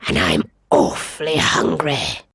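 A woman voicing a proud, hungry male lion king with a deep, breathy growl, speaking slowly and menacingly in a storybook. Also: very animated, fairly bright tone, no room sound, heavily acted. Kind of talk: storybook read-aloud.

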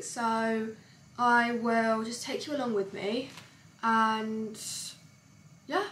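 A woman's voice: fairly high pitch, rough recording. A young woman chatting to a handheld camera in a casual vlog, full of fillers like "like". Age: young adult. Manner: chatty, filler-heavy, lots of "like". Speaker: vlogger. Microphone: handheld camera close to the face. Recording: loud background noise.

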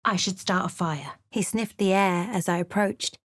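A woman's voice: medium pitch, normal speed, no echo, natural voice. Woman playing a wry fantasy adventurer, close and dry. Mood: measured, observant. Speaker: voice actor for a fantasy role-playing game companion or player character. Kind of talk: scripted video game voice lines, narration and character remarks.

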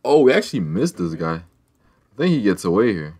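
A man's voice: low voice, sing-song, moderate pace, a little mellow. A man casually chatting over gameplay as a streamer, slangy and full of trash talk. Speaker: gaming streamer.

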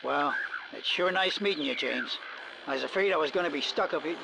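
An actor playing a folksy, jittery American survivor in a video game, talking over a two-way radio with stammers and homespun phrasing. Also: male voice, fairly high pitch, a little fast, vocal fry. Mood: friendly, wry. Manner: folksy, drawling small-town turns of phrase, stammering. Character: folksy, jittery survivor named Rusty, stranded among the undead. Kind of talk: video game cutscene acting. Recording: noisy walkie-talkie radio transmission.

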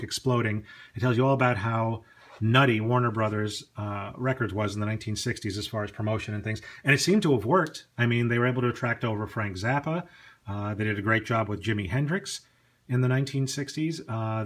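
A man's voice: mid-range voice, fairly bright tone. A man giving casual, off-the-cuff commentary as a knowledgeable, chatty record collector who tends to digress. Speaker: record collector presenting a music vlog.

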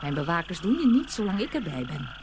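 A woman's voice: fairly low pitch, big pitch swings, background noise. A woman playing a sly, menacing snake-woman witch in an audio fairy tale, drawing out her taunting words. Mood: commanding, sly. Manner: slow, drawn-out, taunting delivery.

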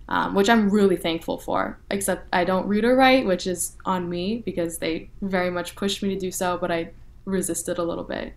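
A young woman from North Texas, speaking as an interview guest in a relaxed way with lots of "you know" and "like" fillers. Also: lowish voice. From North Texas, USA. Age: young adult. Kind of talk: casual interview talk.

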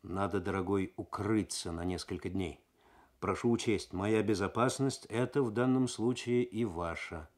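Man reading as a quiet, sly swindler. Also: on the low side, moderate pace, pitched below the performer's own voice, close to an everyday voice. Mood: sly, insistent. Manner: quiet, smooth, insinuating. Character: tall, sly, cool-headed male swindler.

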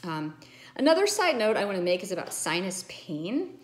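A woman's voice: mid-range voice, sing-song, moderate pace, a little hiss or hum. A middle-aged woman doctor explaining nose and sinus care to a phone camera at home, earnest and emphatic. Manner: earnest, emphatic, gestures with her hands. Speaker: ENT doctor. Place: home room with bookshelves, indoors. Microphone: phone camera at arm's length.

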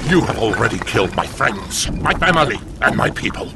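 An actor playing an ancient, bitter demon overlord in an audio drama, gravelly, halting and grandiose. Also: male voice, on the low side, sing-song, heavy background noise. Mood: bitter, defiant. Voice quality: gravelly, breathy. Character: ancient, bitter, defiant male demon overlord, badly wounded. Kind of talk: audio drama villain acting.

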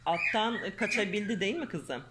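A woman reading a children's storybook aloud to her daughter at home in a gentle, coaxing way, recorded on a phone. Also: mid-range voice, animated, fairly quick. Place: home, indoors, bedroom. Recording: loud home background noise.

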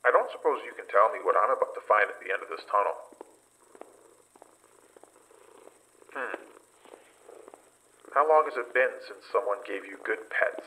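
Weary investigator, horror podcast drama. Deepish, husky, halting delivery, haunted by what he recounts. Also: lively intonation, sharp tone, exaggerated voice, low-quality recording. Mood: uneasy. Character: weary male investigator recounting a dangerous excursion. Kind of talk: horror audio drama acting.

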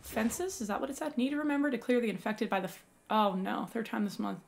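Young woman streamer, gravelly raspy voice, excitable running commentary. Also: on the high side, quick pace, a little hiss or hum. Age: young adult. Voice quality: raspy from yelling. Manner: excitable, exclaiming at finds. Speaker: gaming YouTuber. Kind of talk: let's play commentary.

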